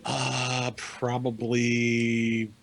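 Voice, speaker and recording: A man's voice: medium pitch, monotone, drawn-out words, creaky. A man chatting casually as a co-host on a podcast. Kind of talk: casual chat.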